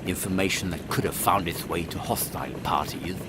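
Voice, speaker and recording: A man's voice: low-pitched, brisk, natural voice. A man playing an earnest, dutiful and easily astonished captain in a steampunk audio comedy, speaking formally. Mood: suspicious, formal. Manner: formal, blustering, exclamatory. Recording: loud airship engine noise behind.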